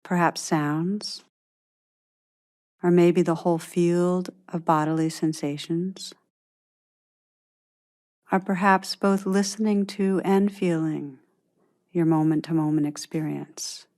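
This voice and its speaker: A woman guiding a meditation in a gentle, unhurried, soothing manner, giving calm instructions with long pauses. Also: lowish voice. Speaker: meditation teacher guiding a practice. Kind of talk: guided meditation, scripted instruction.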